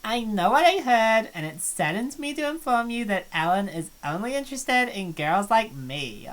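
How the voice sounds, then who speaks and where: Woman as vain mean-girl student in a visual novel, high and sneering. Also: big pitch swings, normal speed. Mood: haughty. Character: haughty snobbish college girl, vain and catty. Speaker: game streamer reading voice lines.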